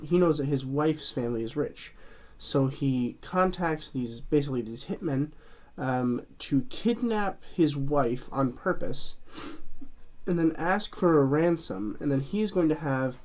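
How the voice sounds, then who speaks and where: A man's voice: mid-range voice, a little mellow. A man hosting his own movie review channel, chatting casually through a cold that leaves him a bit stuffy and nasal, rambling with plenty of fillers and restarts.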